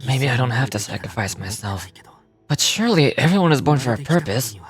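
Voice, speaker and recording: A man's voice: mid-range voice, animated, brisk, lightly acted. A man voicing an earnest, brooding young man in a video game. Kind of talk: scripted video game voice acting. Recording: game audio with background music.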